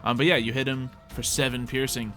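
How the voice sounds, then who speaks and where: A man's voice: mid-range voice, steady tone, brisk, bright, forceful tone. A man running a tabletop roleplaying game as game master, narrating turns and rulings casually. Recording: faint music underneath. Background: faint background music.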